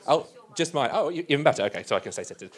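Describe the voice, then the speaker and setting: A middle-aged man moderating a seminar panel, upbeat, quick, with fillers, into a handheld mic. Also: a little high, big pitch swings. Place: museum event space with a live audience. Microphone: handheld microphone.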